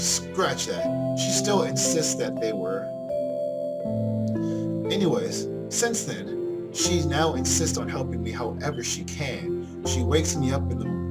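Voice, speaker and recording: A young man hosting a casual let's play, expressive and slangy, commenting and reading game text aloud. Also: mid-range voice. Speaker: gaming video host. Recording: loud guitar game music behind. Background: game music.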